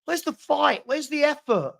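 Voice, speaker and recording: Male watchalong host, British, high gravelly voice going hoarse. Exasperated, emphatic football commentary that hammers phrases by repetition. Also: animated, moderate pace, fairly bright tone. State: hoarse, losing his voice. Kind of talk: live fan commentary, reading viewer comments.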